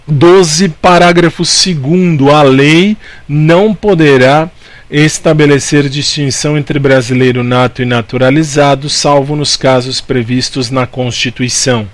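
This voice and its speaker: A man's voice: fairly high pitch, steady tone, a little slow, no room sound. Brazilian man teaching, close dry sound. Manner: didactic, repeats key points, asks and answers own questions. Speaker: law teacher. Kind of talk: informal exam-prep lecture.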